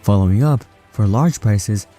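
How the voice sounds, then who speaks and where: A man narrating a scripted explainer in an even, read-out way. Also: on the low side, a little slow, faint music behind.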